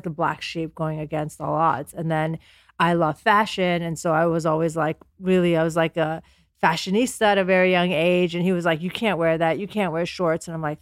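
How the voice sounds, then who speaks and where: Calm, low-voiced woman as podcast guest. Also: no room sound, studio quality. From United States, born in Afghanistan. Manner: earnest, calm. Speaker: podcast guest, company founder. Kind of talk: personal storytelling in an interview. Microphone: close podcast microphone.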